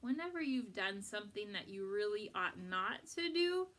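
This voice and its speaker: A woman reading a picture book aloud to children in an expressive, deliberate, instructive way. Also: mid-range voice. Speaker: storybook reader for children.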